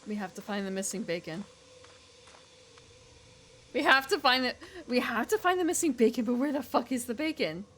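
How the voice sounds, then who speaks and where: A woman's voice: a little high, animated, normal speed, pauses often, fairly bright tone, slight background noise. An American woman giving casual, rambling live game commentary as a streamer, chatting informally with viewers. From United States.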